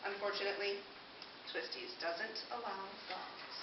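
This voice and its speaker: A woman's voice: medium pitch, measured pace. Woman narrator in a hissy, echoey room. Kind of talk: scripted children's puppet show narration. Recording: echoey room, distant mic, noisy hiss, dull.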